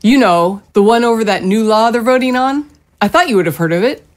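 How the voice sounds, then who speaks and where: A woman playing a fussing, mildly exasperated middle-aged mother in a naturalistic audio drama, gently nagging. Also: fairly high pitch, brisk, natural voice. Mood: concerned.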